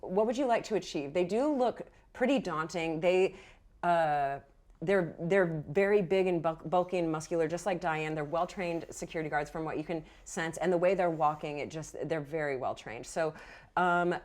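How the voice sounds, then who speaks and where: Low-pitched woman game master, thinking aloud. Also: a little mellow. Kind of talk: improvised narrating of a tabletop game.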